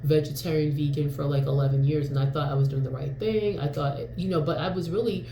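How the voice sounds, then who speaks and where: A woman in her forties from New York chats to camera in a rambling, candid vlog style, full of ums and the occasional curse. Her voice is mellow and relaxed. The room around her rings with echo, and a faint hum sits underneath. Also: low-pitched, fast. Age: 40s. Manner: rambling and frank, with frequent fillers and mild swearing. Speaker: vlogger. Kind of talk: casual talking-to-camera vlog.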